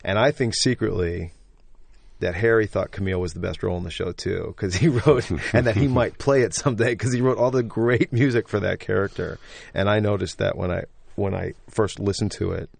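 An enthusiastic male stage actor speaks as an interview guest in a loud, somewhat braying voice. His voice is low, with a gravelly edge. The sound is dry, with no room around it. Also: brisk, no echo. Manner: enthusiastic, effusive. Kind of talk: casual interview talk.

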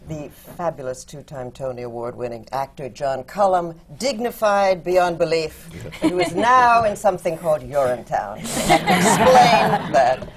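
A woman's voice: deep voice, expressive, a little slow, noisy background. A woman moderating a theater panel discussion and introducing the guests one by one.

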